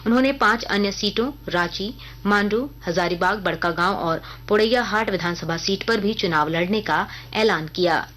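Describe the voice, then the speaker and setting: Female news reader, scripted radio bulletin, formal, measured, mid-pitched voice. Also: fairly bright tone.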